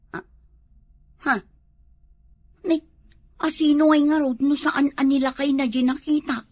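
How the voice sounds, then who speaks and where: A woman acting a suspicious, hot-headed village wife in a comedy drama, with an Ilocano accent. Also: fairly high pitch.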